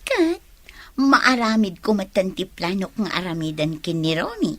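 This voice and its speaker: A woman's voice: mid-range voice, very animated, unhurried, pushed and bright, no room sound, full character voice. A woman playing a pushy, scheming young rival in love in a radio drama, with a suspicious, needling manner. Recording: faint noisy ambience behind.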